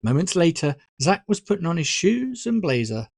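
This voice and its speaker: Expressive storybook narrator with a dry, close sound. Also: medium pitch, moderate pace, vocal fry, no room sound. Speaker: author narrating own audiobook. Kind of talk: scripted audiobook narration.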